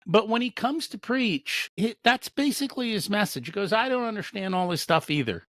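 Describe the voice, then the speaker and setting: Male interview host, easy laugh, animated delivery, dead-dry recording. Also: sits high, moderate pace, no room sound. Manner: laughs easily, chatty follow-up questions. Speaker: podcast host. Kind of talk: casual interview talk.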